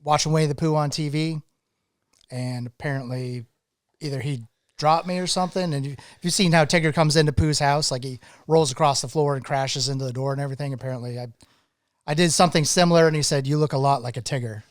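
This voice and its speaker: A man from Maine talks as a podcast guest, telling stories in a relaxed, thoughtful way. His voice sits a little high, and the sound is dry and close. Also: fast talker, no room sound. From Maine, USA. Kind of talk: casual interview chat.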